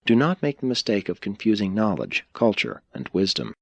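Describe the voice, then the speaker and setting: Husky low-voiced man narrating an audiobook. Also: huge pitch swings, moderate pace, no room sound, muffled recording. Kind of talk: scripted audiobook narration of a philosophical religious text.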